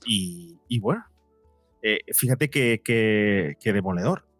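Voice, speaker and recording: A man's voice: lowish voice, animated, slow, fairly bright tone, breathy edge, dry recording, soft background music. A middle-aged man speaking as an interviewed psychologist, explaining earnestly and emphatically in a conversational way, often acting out imagined dialogue.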